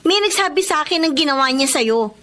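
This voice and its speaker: An outspoken young schoolgirl friend played by a woman with a very high voice, protective and frank. It is radio drama acting with faint noise behind it. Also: lively intonation, brisk, sharp tone. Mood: indignant. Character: loyal, outspoken young female student.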